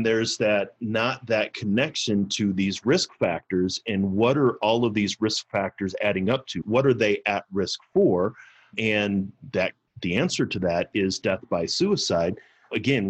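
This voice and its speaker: A man's voice: on the low side, slightly rough. A middle-aged American man from Missouri talking earnestly and reflectively in a serious conversational interview. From Missouri, United States.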